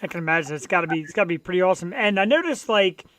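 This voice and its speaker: An eager male host with a high voice who talks quickly. The recording is dry and close, with no room sound. He is enthusiastic and fan-like in casual interview chat. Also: animated, a bit bright, dry recording. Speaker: show host.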